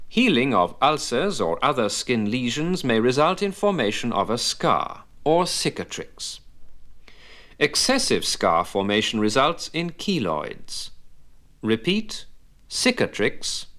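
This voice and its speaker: Slow, lively-pitched male language instructor. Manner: deliberate, didactic enunciation with terms set apart. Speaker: medical English instructor. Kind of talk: scripted vocabulary lesson narration with repeat-after-me prompts.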